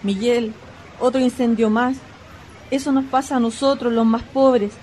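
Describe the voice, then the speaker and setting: A woman's voice: fairly high pitch, steady tone, measured pace, warm tone, slight background noise, lightly acted. A woman playing a worried, weary, poor Chilean shantytown wife in a radio drama, plaintive and anxious. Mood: despairing, worried.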